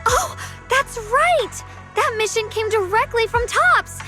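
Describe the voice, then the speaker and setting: Kind young game heroine: sky-high bright voice, earnest, humble, slightly hesitant. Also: female voice, lively intonation, takes it slowly, faint music behind, heavily acted. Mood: flustered. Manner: sincere, hesitant, eager. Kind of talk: anime-style game dialogue.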